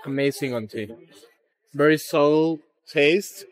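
A man at a market stall makes an eager, persuasive sales pitch in a somewhat high voice. The chatter of a busy crowd carries around him. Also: unhurried, rough recording. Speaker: market honey vendor. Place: outdoor street market.

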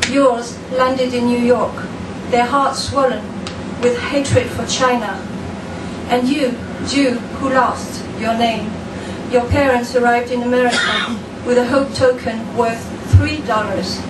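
A woman raised in France reads her poem slowly and deliberately in a dim room. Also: on the high side, steady tone. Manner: slow, deliberate, measured reading. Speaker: poet. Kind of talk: poetry reading. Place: dim room with a lamp, indoors. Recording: echoey room, distant mic, background noise.

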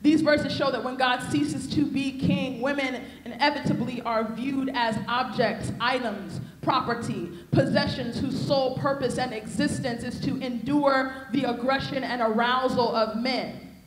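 A woman's voice: high voice, steady tone, a little slow, slight background noise. A young woman preaching a sermon on a convention stage into a handheld mic, with a rhythmic, repetitive cadence. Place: convention hall stage with podium. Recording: echoey hall.

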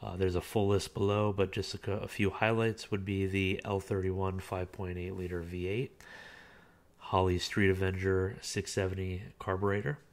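A man with a low, deep voice chats his way through a car listing, relaxed and unscripted. He reads bits of the listing aloud and drops in plenty of filler words as he goes. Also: moderate pace. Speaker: car auction reviewer. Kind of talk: casual screen-recorded commentary.

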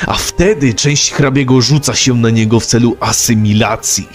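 A man's voice: mid-range voice, normal speed, loud music behind the voice. A man narrating a scripted story recap.

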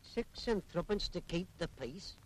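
A sceptical working man grumbles bluntly in a fairly high, very animated voice. His sardonic delivery is that of 1940s public information film acting. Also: unhurried, rough recording.

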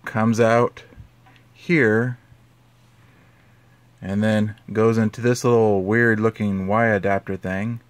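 Low-voiced man giving a casual tutorial. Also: little pitch movement, takes it slowly, long pauses. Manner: informal, conversational explaining. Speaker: host of an audio tutorial.

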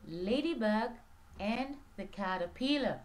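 A woman narrating a scripted children's read-along story in an expressive, drawn-out storytelling manner. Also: a little high, slight background noise.

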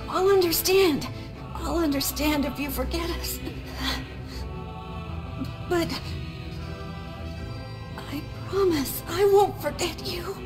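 A woman voicing a gentle, devoted young boy in a video game, earnest, emotional and halting. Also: very high voice. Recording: loud music under the voice.

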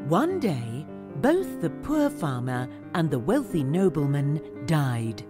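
A woman narrating a fairy-tale cartoon in a slow, even storytelling manner. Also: low-pitched, music in the background.

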